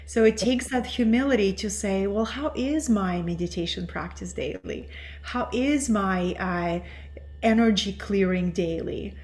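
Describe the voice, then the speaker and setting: A middle-aged woman from Russia, whose first language is Russian, speaking as an interview guest in an earnest, measured, teacherly way. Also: medium pitch, darkish tone. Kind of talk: reflective interview talk.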